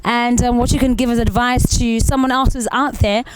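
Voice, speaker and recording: A woman's voice: a little high, fairly even intonation, fairly quick, background noise. A woman hosting a casual interview with musicians. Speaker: interview host.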